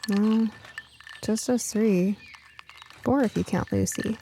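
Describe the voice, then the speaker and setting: A woman's voice: mid-range voice, steady tone, slow pace, dark tone, slight background noise. A woman voicing a curious, science-minded young girl in an audiobook, chatty, earnest and eager. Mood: casual.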